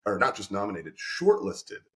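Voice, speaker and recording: A man hosting an interview podcast, energetic and effusive, often restarting his sentences. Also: fairly low pitch, moderate pace, creaky. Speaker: podcast host and company representative.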